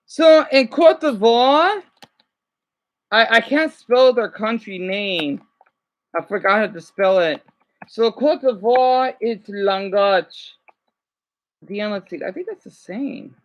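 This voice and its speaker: A man's voice: high voice, slow pace. A man making a casual reaction vlog who chats along and stumbles over foreign words. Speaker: vlogger reacting to a video.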